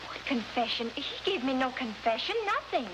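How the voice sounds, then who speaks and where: High-voiced, earnest young heroine. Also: female voice, lively intonation, normal speed. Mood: anxious denial. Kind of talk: 1950s TV drama acting. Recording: noisy, hissy 1950s TV soundtrack.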